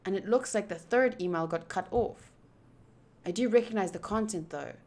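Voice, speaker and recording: A woman streaming a visual novel, reading it aloud deliberately with pauses and chatty asides. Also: medium pitch, darkish tone. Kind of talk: playthrough narration with chatty asides.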